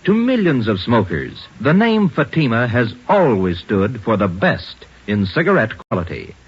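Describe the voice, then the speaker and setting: Very husky, animated radio pitchman. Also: normal speed. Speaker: commercial announcer. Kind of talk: 1950s radio cigarette advertising pitch. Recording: dull old radio broadcast, faint music.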